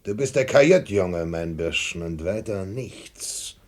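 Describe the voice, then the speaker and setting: An actor playing a leering, quarrelsome pirate brute in a radio play, lecherous and bullying. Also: male voice, deep voice, lively intonation, measured pace.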